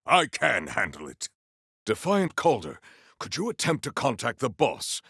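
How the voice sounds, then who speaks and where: An actor playing a proud, battle-hungry warrior in a video game, with formal, stilted and grandiose diction. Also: male voice, mid-range voice, huge pitch swings, measured pace, creaky, fairly bright tone, breathy edge, dry recording, clean studio sound, full character voice. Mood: resolute. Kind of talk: scripted video game character lines.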